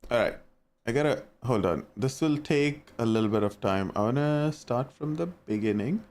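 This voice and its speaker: A man's voice: mid-range voice, lively intonation, moderate pace, a little mellow. A young man from India with an Indian accent, chatting casually with his live-stream viewers and reacting to their messages.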